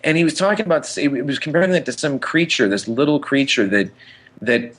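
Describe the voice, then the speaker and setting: A man chats as a podcast co-host at an ordinary middle pitch. He muses out loud and rambles, circling back to restart a phrase as he works toward his point. The talk is casual and conversational. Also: fairly quick, breathy edge. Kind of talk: casual pop-culture chat.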